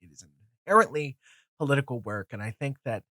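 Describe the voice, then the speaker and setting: A man's voice: medium pitch, lively intonation, quick pace, bright, forceful tone, no room sound. A man in his twenties with a cold and a nasal voice, rambling casually through his opinions with many fillers, restarts and casual swearing. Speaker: comics video host.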